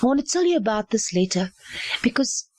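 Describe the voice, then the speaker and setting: A woman's voice: fairly high pitch, big pitch swings, fairly quick, no room sound. A middle-aged South African woman whose first language is Afrikaans, speaking earnestly and repeating phrases for emphasis. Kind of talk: earnest spoken testimony.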